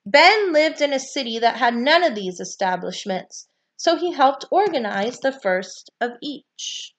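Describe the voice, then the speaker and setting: A woman with a high voice reads aloud from a children's nonfiction book. Her delivery is that of read-aloud narration for young listeners. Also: lively intonation, unhurried.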